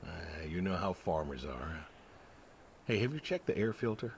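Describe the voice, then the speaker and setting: A man's voice: sits low, lively intonation, normal speed, slight background noise. A man playing an aging, wistful, plainspoken pig farmer, terse and folksy in naturalistic video game dialogue. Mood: matter-of-fact, dry.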